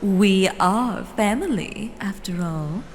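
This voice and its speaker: A woman voicing a gracious but chiding vampire elder in a fantasy audio drama, deliberate and theatrical. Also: mid-range voice, darkish tone, close to an everyday voice. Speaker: voice actor. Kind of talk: scripted fantasy character dialogue. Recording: long reverb, faint background noise.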